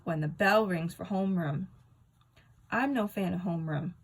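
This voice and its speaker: A woman reading a children's book aloud as a storyteller, with expressive, dramatic and emphatic delivery. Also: lowish voice, normal speed, slightly rough.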